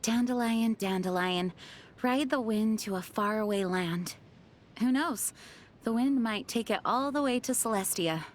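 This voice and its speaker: A woman's voice: a little high, a little slow, a little hiss or hum, lightly acted. A woman playing a dutiful, earnest young knight in a video game, speaking formally and with composure. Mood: warm, reflective. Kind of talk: video game character voice lines.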